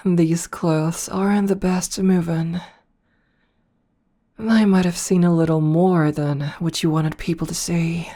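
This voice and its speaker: A woman voicing a playful, predatory noble vampire princess in an ASMR roleplay, speaking softly up close and slipping into whispers. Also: lowish voice, steady tone. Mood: teasing. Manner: soft, slow and toying, slipping into whispers.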